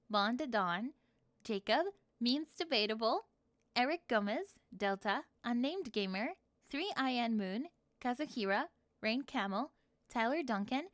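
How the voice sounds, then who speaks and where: A woman hosting her channel, giving a casual outro and reading out a list of supporter shout-outs. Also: on the high side, dry recording. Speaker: channel host.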